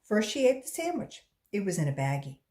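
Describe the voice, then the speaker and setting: Animated woman reading a story aloud, roomy sound. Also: mid-range voice, fairly quick. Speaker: volunteer children's book reader.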